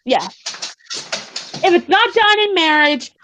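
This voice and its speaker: High-pitched woman chatting haltingly. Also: very animated, background noise. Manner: halting, repeats and restarts phrases. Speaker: co-host and article reader. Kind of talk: casual chat, reading blog posts aloud.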